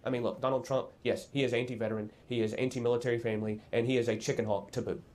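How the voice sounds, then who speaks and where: A man's voice: medium pitch, flat delivery, darkish tone, slight background noise. Earnest man in a news interview. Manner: earnest, measured, with frequent um and uh. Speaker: political guest.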